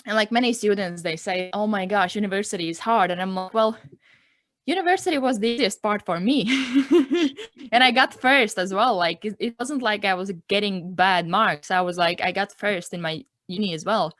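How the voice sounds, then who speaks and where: A woman in her twenties from Latvia, speaking English with a Latvian accent as her second language, telling her own story as an interview guest in a reflective, earnest way, filling pauses with "like" and "um". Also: mid-range voice, fairly bright tone, no echo, studio quality. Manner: reflective, earnest, peppers speech with "like" and "um". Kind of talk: personal story in a casual interview.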